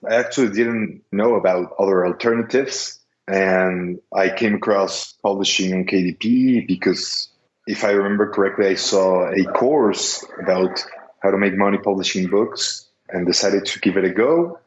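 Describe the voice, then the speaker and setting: Casting note: young Mexican man, Spanish accent, low voice, careful non-native English, roomy muffled audio. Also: normal speed. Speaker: guest, business seller. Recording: echoey, dull lo-fi line.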